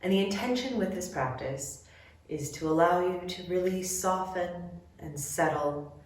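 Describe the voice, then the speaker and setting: Slow-paced woman guiding relaxation in echoey room. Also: on the low side. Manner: gentle, measured instructions with long pauses. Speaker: yoga nidra guide. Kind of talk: guided relaxation meditation. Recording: very echoey room, distant mic.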